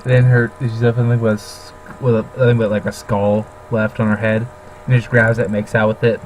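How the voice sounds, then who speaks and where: A man's voice: on the low side, flat delivery, a little fast, a little mellow, a little hiss or hum, poor audio. A man co-hosting a comic read-along, joking casually in a snarky, crude, teasing way.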